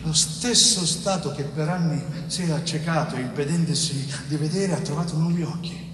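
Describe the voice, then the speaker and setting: A man's voice: a little high, moderate pace, background noise, close to an everyday voice. An actor playing a wronged innocent man in middle age in an intense stage monologue, bitter and weary, repeating phrases like an incantation. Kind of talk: dramatic theatre monologue. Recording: echoey theatre hall, distant mic, music.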